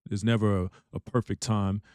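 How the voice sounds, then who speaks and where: A man with a deep voice hosting a reaction channel, chatting casually and filling his talk with "you know what I'm saying.". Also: little pitch movement, normal speed, darkish tone, smooth, no echo.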